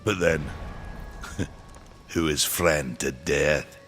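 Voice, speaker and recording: An actor playing a wry old warrior standing guard in a fantasy video game, talking in a folksy, mocking way. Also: male voice, rumbling low, drawn-out words, fairly bright tone, faint music behind, full character voice. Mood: wry warning. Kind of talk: video game cutscene acting.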